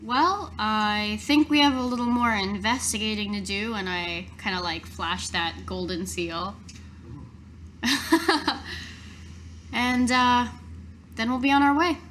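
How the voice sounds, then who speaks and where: A woman's voice: medium pitch, takes it slowly, a bit bright, slight background noise. A woman playing a tabletop game, improvising casually in character.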